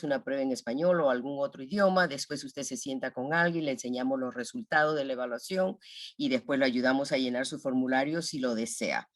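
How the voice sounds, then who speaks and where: A man interprets for parents at a public meeting in an explanatory style. His pace is brisk and his voice sits at a medium pitch, recorded close and free of echo. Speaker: interpreter for a school Q&A.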